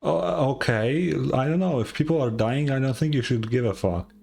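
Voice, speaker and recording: A tired woman giving casual, blunt, deadpan commentary while reacting to a video game. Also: very deep voice, normal speed, gravelly, husky. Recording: game music faintly behind.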